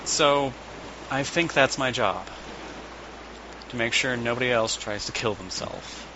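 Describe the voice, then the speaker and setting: A man playing a haunted, compassionate psychiatrist in an audio drama, confiding in an earnest, halting way. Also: mid-range voice, dull audio, noisy background, lightly acted. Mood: earnest, quiet. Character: world-weary compassionate male psychiatrist, haunted by his past.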